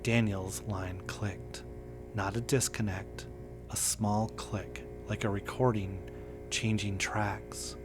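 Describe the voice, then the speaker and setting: A man reading a scripted first-person horror story in a measured, understated way. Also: fairly low pitch, flat delivery, music in the background. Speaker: narrator.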